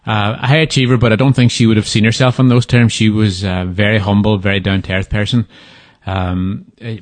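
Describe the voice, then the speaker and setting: A man talks in a fairly low voice, stopping and restarting often as he recalls details. The sound is close and dry, with little room around it. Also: dry recording. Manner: hesitant, many restarts and fillers. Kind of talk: casual interview answers.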